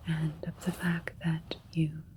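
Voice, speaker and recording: A woman playing a calm, clinical alien doctor in an ASMR sci-fi roleplay, speaking in a gentle, reassuring breathy whisper. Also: sits low. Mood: calm, explanatory. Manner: slow, gentle, reassuring, formal and procedural. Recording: faint steady spaceship ambience noise. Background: soft alien spaceship ambience.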